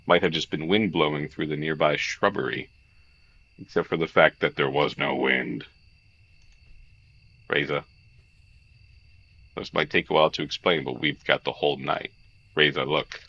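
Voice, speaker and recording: A man hosting a visual novel read-along, moving between narration and jokey, excitable, sweary commentary. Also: extremely low pitch, moderate pace, pauses often, highs cut off. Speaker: streamer, host of a game read-along.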